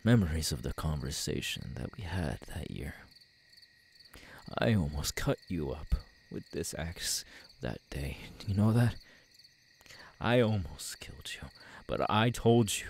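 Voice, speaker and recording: A man acting an audio roleplay as a tormented, menacing young killer, bitter and halting, with a husky voice. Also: low voice, very animated, a little hiss or hum, exaggerated voice. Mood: menacing, unstable. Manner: halting, brooding, bursting into anguished shouts.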